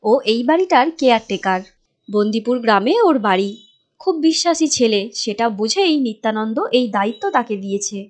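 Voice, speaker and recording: A woman's voice: fairly high pitch, animated, a little fast. A woman narrating a cartoon moral tale in a scripted storytelling style.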